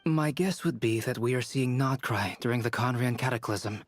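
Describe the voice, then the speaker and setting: An actor playing a detached, analytical scholar in a video game, speaking in precise, formal phrases. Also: male voice, medium pitch, moderate pace, no room sound, close to an everyday voice. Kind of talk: video game character acting.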